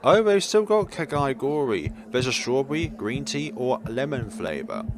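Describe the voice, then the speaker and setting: A man's voice: mid-range voice, very animated, moderate pace. A man reading visual novel narration aloud as a let's player, theatrical but stumbling over words and breaking into jokey asides. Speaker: video game let's player. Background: game music.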